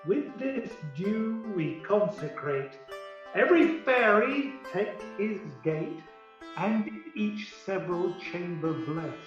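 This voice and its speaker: An actor playing a stately fairy king in an amateur radio play, chanting Shakespearean verse in measured rhyme. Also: sits high, expressive. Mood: solemn blessing. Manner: incantatory, measured rhyming delivery. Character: Oberon, stately fairy king blessing a house. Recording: very echoey, distant, muffled, music behind.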